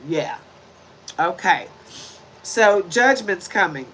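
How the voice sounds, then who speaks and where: Bright-voiced woman reading tarot cards. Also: lowish voice, lively intonation, slow pace, slight background noise, low-quality recording. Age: 40s. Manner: chatty and preachy, many tag questions; shuffles and lays out cards while talking. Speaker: tarot reader. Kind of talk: casual tarot reading to camera.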